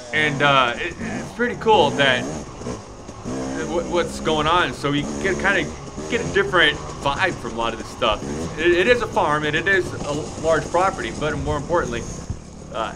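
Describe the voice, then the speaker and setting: Excited man vlogging from a dirt bike. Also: fairly high pitch, vocal fry. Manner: excited, rambling, cheering on riders. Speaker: motovlogger. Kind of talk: casual race motovlog commentary. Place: riding a dirt bike on a wooded trail, outdoors. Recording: helmet cam with loud engine noise.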